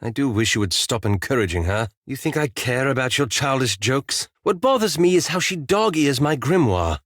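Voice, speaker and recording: An actor voicing a prim, bookish elf wizard in a fantasy video game, speaking formally and precisely with dry sarcasm. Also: male voice, medium pitch, very animated, normal speed, a bit bright, dry recording. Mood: irritated.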